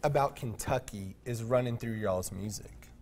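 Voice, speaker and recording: A man in his thirties hosts a daytime TV segment from a studio. He talks in an upbeat, chatty way and laughs easily, with a medium-pitched voice. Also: normal speed, a little mellow. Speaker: TV show host.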